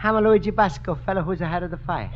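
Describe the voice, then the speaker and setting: A man's voice: high-pitched, quick pace, soft background music, natural voice. An actor playing a naive, good-hearted Italian immigrant man with earnest broken English and a thick Italian accent, in a radio comedy. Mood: nervous, earnest. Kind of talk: 1950s radio situation comedy acting. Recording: dull, noisy old radio broadcast.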